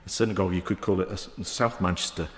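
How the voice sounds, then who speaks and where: A man in his fifties with a Manchester accent, giving a halting parliamentary debate speech with many fillers and restarts. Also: sits low, fairly even intonation. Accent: Mancunian. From England. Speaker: member of the House of Lords. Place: large echoing debating chamber. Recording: roomy chamber with long reverb.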